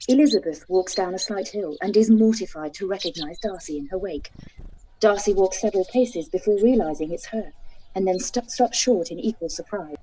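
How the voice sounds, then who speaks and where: A woman narrating stage directions for a live-read radio play, calm and even. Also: mid-range voice, a little fast, a little hiss or hum, rough recording.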